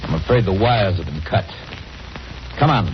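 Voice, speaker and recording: An actor playing a steady, practical friend who takes charge firmly and urgently in a 1940s radio drama. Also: male voice, sits low, normal speed, background noise. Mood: controlled. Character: steady, take-charge male friend in a thriller. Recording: muffled old radio recording.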